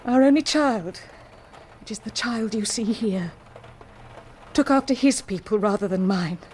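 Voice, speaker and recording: An actress playing an anguished, dignified married woman confessing a secret, speaking formally and with deliberate passion in a period radio drama. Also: medium pitch, a little mellow, a little hiss or hum, close to an everyday voice. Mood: proud, tender. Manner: formal, deliberate, impassioned, declamatory.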